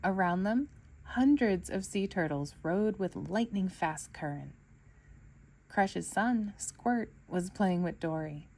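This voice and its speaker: A woman storyteller reading a children's book aloud in an expressive narrating style for kids. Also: lowish voice, slow.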